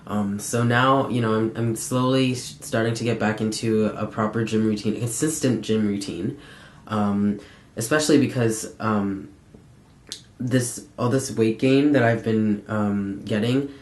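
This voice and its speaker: Low-voiced young man in an echoey room. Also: fairly even intonation, unhurried, slight background noise. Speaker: vlogger. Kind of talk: casual personal update vlog.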